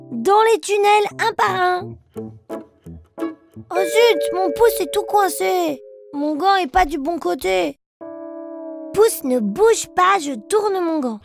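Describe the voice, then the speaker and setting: A woman's voice: extremely high pitch, vocal fry, heavily acted. A woman voicing a cheerful, playful young bear cub with a simple sing-song delivery in a children's cartoon. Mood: earnest, cheerful. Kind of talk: children's cartoon nursery rhymes.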